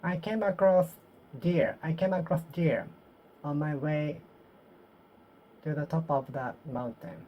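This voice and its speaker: Japanese woman talking to herself in english, low pitch, keeps restarting lines. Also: steady tone, dull audio. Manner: halting, repeats and self-corrects phrases, trailing off softly. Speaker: vlogger. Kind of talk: solo English speaking practice, talking to herself.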